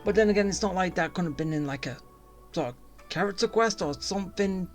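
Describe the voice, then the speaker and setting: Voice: mid-range voice, brisk, faint music behind. A game streamer giving casual, rambling and grumbling commentary while playing. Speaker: gaming streamer.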